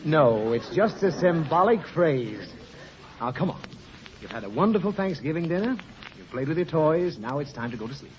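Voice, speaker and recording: Fussy, exasperated radio dad, animated. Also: male voice, a little high, moderate pace. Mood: patient. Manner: fussy, wordy, easily flustered. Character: exasperated father reasoning with his small daughter. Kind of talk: 1940s radio comedy acting. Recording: muffled, noisy 1940 radio, studio audience.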